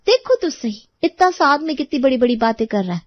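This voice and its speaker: A haughty rich neighbour lady played by a woman in a story, pitched high and recorded very dry. She shows off and talks down to everyone, snappish and boastful. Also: animated, brisk, no echo, lo-fi sound. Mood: bossy, contemptuous. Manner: condescending, showy.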